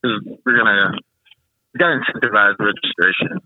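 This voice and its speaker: A man who organizes a rally, a podcast guest chatting in a relaxed, easygoing and upbeat way. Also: lowish voice, brisk, no room sound. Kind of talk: casual chat. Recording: dull, lo-fi remote audio.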